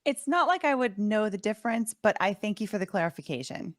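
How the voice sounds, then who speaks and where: A woman doing a dramatic reading as a brisk, no-nonsense trial judge, blunt and firm in manner. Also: mid-range voice, very animated, no room sound, studio quality, close to an everyday voice. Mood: dry. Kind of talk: dramatic reading of a court transcript.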